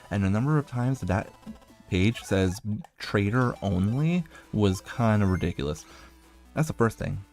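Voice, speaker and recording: A man's voice: low-pitched, a little mellow. A man giving casual video game commentary as a streamer, rambling and thinking aloud as he plays.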